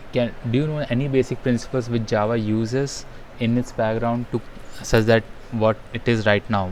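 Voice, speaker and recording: Man, lowish voice, online instructor, hesitant and filler-heavy; faint noise on the call line. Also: low-quality recording. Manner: halting and hesitant, many fillers and restarts. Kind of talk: live online programming lesson. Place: home in a rainy town.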